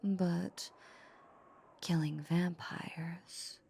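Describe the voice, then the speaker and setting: A woman playing a possessive, seductive vampire in a roleplay audio drama, teasing her captive with menacing sweetness in a breathy near-whisper. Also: lowish voice, fairly even intonation, very slow, dark tone, heavily acted. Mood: amused, possessive.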